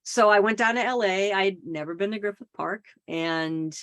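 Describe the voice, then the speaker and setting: A woman's voice: mid-range voice, normal speed, fairly bright tone. A middle-aged woman from the Boston area, talking as a podcast guest and telling stories in a chatty way, with self-deprecating humor and lots of fillers. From Boston area, Massachusetts. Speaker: podcast guest, wildlife advocate. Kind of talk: casual conversational interview.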